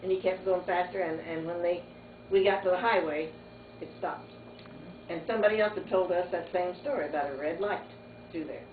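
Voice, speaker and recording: A woman's voice: lowish voice, fairly quick. An older woman telling a story casually among family. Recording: muffled phone-like audio, echoey, distant, noisy room.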